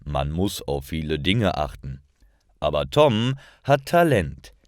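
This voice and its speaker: A man reading a scripted story for language learners, with careful, clearly separated phrasing. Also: very deep voice, sing-song, slow pace, a little mellow, dry recording, clean studio sound. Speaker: narrator of language-learning stories.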